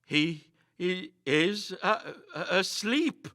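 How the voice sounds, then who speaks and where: A man's voice: high-pitched, lively intonation, extremely slow, dry recording, studio quality, voice pushed up, exaggerated voice. A man voicing a shaken, agitated man losing his nerve in a dramatic ghost story reading. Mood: stammering, uneasy. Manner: hesitant then loud, agitated.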